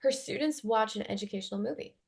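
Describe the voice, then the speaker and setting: A woman with an average-pitched voice serves as the narrator of a script. She reads scene headings and stage directions in a scripted narration style. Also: fast, vocal fry, a little mellow.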